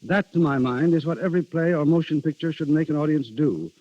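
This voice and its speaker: Mellow, higher-voiced man on vintage radio. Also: lively intonation, fairly quick. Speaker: guest playwright. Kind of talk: scripted 1930s radio interview. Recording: muffled 1930s radio broadcast.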